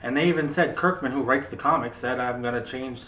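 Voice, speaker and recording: A man's voice: medium pitch, fairly quick, fairly bright tone. A man co-hosting a casual movie discussion, giving his opinions in a rambling, argumentative, conversational way. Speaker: co-host of a movie discussion show. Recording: echoey room with faint background noise.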